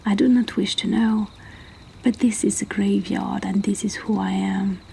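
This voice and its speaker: Whispering female host for a fireside poetry reading, slow, mellow tone, faint background ambience. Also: medium pitch, steady tone, gravelly, slight background noise. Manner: soft whispering, slow and hushed. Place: indoors by a fire.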